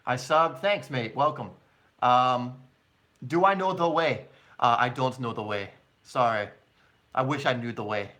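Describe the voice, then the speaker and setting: Bright-voiced man chatting on a live stream. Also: medium pitch, measured pace, long pauses. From born in England, long living in the United States. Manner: chatty, informal, addresses viewers as mate and guys. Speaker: soccer YouTuber and live stream host. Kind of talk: casual live stream Q&A chat.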